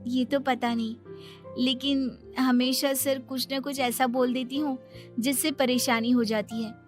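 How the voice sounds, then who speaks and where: A woman's voice: high-pitched, level tone, unhurried, faint music behind. A woman voicing a naive, blunt, truthful young housewife in a cartoon story, with an innocent, apologetic manner.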